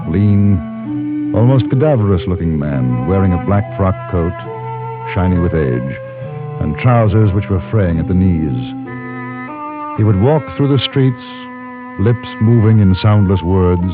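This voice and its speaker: An actor playing a composed English newspaperman with a British accent in an old radio drama, polite, measured and understated. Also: low voice. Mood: reflective. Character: courteous, composed English newspaperman who carries a gun. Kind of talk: old-time radio drama acting and first-person narration. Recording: muffled old radio broadcast with music.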